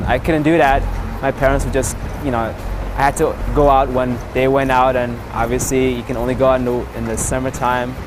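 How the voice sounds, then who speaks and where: A man in his twenties from Indonesia, a native Sundanese speaker, telling his life story in a relaxed way, pausing often on fillers. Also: mid-range voice, noisy background. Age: 20s. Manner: relaxed, frequent filler pauses. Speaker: interviewee. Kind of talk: casual personal storytelling.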